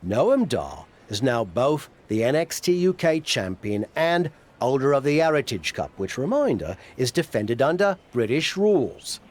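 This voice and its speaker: A man's voice: medium pitch, very animated, a little slow, darkish tone. A man voicing a chatty, eager and self-satisfied sidekick in video game cutscene dialogue. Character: chatty, eager male sidekick, proud of himself.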